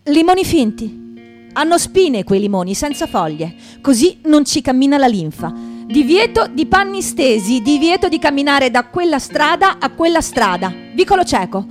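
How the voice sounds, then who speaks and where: A woman's voice: high voice, very animated, normal speed. A woman playing an urgent witness in poetic radio theatre, reciting in clipped fragments. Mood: stark, bitter. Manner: clipped fragments, listing images. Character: urgent female witness reciting scenes of street violence. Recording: music clearly behind the voice.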